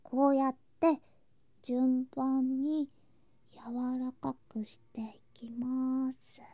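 A woman playing an affectionate, playful younger girl cousin in an intimate ASMR voice drama, speaking softly and coaxingly and slipping into whispers. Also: high voice, flat delivery, very slow, no echo, dull audio, full character voice. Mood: caring, affectionate. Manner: soft, sweet, coaxing, drifting into whispers and singsong. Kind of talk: ASMR situation voice drama, intimate roleplay to listener.

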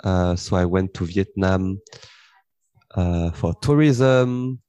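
Podcast guest, young man whose first language is French, low voice, loose unhurried chat, recorded dry. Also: clean tone, dry recording. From France. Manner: relaxed, rambling, with frequent fillers and light laughs. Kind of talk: casual interview chat.

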